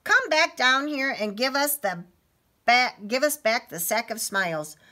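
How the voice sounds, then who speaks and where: A woman's voice: a little high, expressive, unhurried, sharp tone, pitched above the performer's own voice. Woman playing an earnest, bold little storybook boy. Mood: scolding. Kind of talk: children's storybook read-aloud.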